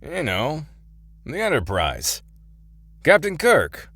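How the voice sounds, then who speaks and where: A man's voice: on the high side, huge pitch swings, takes it slowly, a bit bright, no echo, heavily acted. A man acting in an audio play as a wry, casual middle-aged thief. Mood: wry, curious. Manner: casual, wry, hesitant asides. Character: wisecracking middle-aged thief recruited to time-travel.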